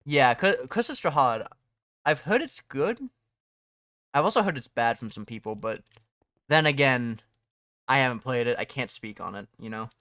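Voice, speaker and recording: A young man talks loosely on a home live stream, drifting between topics and laughing as he goes. His voice is bright and forward. The mic sounds close and dry, with almost no room sound. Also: mid-range voice, lively intonation, moderate pace, pauses often, no room sound. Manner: rambling, laughs often, restarts phrases. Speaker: streamer and mod developer. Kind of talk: casual live-stream chat.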